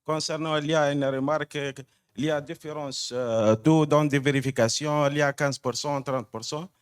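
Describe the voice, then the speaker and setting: A man from Tunisia, a tax official, asking a halting technical question from the floor at a conference. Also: fairly high pitch, fairly even intonation, clean tone. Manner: halting, technical, many fillers and restarts.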